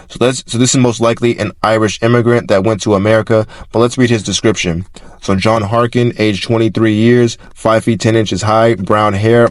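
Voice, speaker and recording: A man's voice: lowish voice, dry recording, studio quality. A man narrating in an informal explanatory style, reading records aloud and often repeating and rephrasing himself as he goes. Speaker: narrator presenting archive records.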